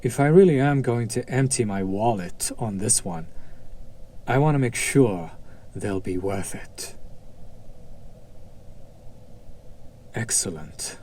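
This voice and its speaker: A man playing a haughty, coolly amused demon buyer in a roleplay audio drama, cultured and menacing, with a deliberate, condescending, taunting delivery. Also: on the low side, animated, dark tone, slight background noise. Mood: cool, businesslike.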